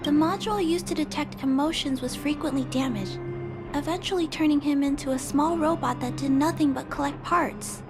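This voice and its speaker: A woman voicing a gentle, earnest young girl who speaks softly and politely, in a game cutscene with music. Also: sits high, normal speed.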